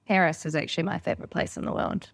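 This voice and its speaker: A woman with a slightly low voice and a New Zealand accent hosts a casual conversation. She speaks informally and lets her sentences ramble, in the manner of someone swapping anecdotes. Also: steady tone, fast. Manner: informal, rambling, acts out quoted dialogue. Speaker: podcast host.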